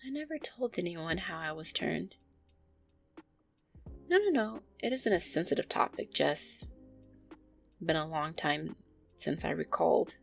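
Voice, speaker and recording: A woman playing a gentle, anxious, affectionate vampire girlfriend in an intimate roleplay audio, speaking softly, tenderly and hesitantly. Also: low-pitched, expressive, unhurried, creaky, pauses often, soft background music, heavily acted. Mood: reflective. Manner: soft, tender, hesitant, sighing delivery.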